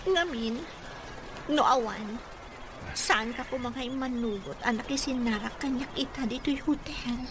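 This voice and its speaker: A woman's voice: fairly high pitch, unhurried, lightly acted. A Filipino actress playing a lovelorn, insistent other woman in a melodramatic radio drama. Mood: pleading. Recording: noisy radio drama track with ambience.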